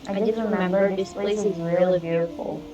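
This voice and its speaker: A woman's voice: medium pitch, fairly even intonation, normal speed, dark tone, muffled recording, slight background noise. A woman gamer giving casual, informal let's play commentary, reacting aloud to the game. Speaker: gamer narrating a playthrough. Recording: faint game music behind.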